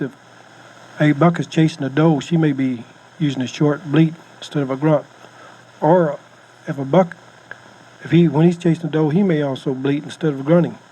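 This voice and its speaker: A slightly noisy cassette recording carries an American man with a somewhat high-set voice. He speaks as a hunting instructor, explaining things informally in plain, folksy phrasing. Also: dark tone. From United States. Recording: noisy home-style cassette tape recording.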